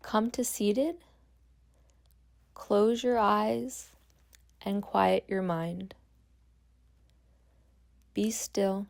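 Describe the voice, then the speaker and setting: A woman guiding a meditation as a yoga nidra instructor, speaking calmly and gently with long pauses. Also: mid-range voice, creaky. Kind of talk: guided meditation.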